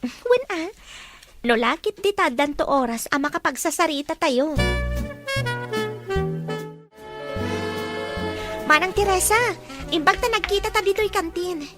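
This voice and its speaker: A woman with a Filipino accent acting a caring teenage high school girl in a radio drama. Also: animated, moderate pace, music in the background. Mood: friendly.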